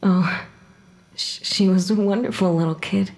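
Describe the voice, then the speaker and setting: An actress playing the ghost of a murdered young mother in an audio drama, sharp-tongued and emotional, halting when upset. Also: mid-range voice, steady tone, close to an everyday voice. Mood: grieving, bitter. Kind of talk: dramatic audio fiction acting.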